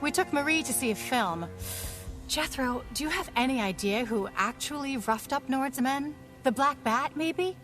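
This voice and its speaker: An actress playing a sharp-tongued, plucky woman adventurer in a radio drama, with a wry, sardonic manner. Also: high-pitched, expressive, moderate pace, over music.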